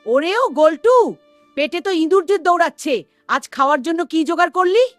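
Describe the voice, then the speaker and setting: A woman's voice: very high-pitched, brisk, soft background music. A woman voicing a hungry, chiding female ghost in a cartoon, with a bossy, scolding manner. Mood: hungry, nagging.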